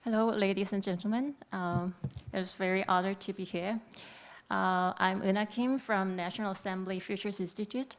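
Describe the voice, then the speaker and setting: Smooth-voiced woman. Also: mid-range voice, fairly even intonation, normal speed, soft background music. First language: Korean. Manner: polite, hesitant with fillers. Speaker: conference presenter. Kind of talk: formal conference opening.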